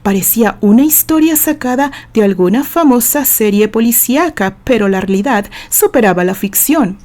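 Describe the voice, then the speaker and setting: A woman's voice: fairly high pitch, animated, normal speed, a little mellow. A woman with a Mexican accent reading a novel aloud as dramatized, scripted narration.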